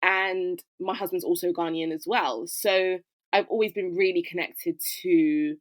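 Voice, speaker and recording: A woman with a low voice talks through her answers in a reflective, conversational way, with plenty of ums and you-knows. The recording is dry and close, with no room sound. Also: fairly even intonation, unhurried, no echo. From United Kingdom. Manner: conversational, reflective, frequent fillers. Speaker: guest, fashion educator. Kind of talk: interview answer.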